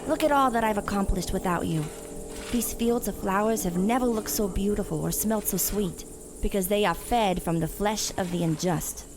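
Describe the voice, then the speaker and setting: A woman's voice: medium pitch, normal speed, noisy background, close to an everyday voice. A woman playing a young vigilante killer, cold, defiant and self-righteous, in an audio drama. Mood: defiant, proud.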